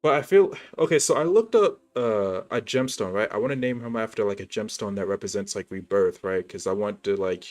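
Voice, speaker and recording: A man's voice: on the low side, fairly quick, hardly any pauses, darkish tone. Laid-back young guy streaming a game. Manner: rambling, lots of "like" and restarts, laid-back. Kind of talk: casual gameplay commentary. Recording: stream with faint game music.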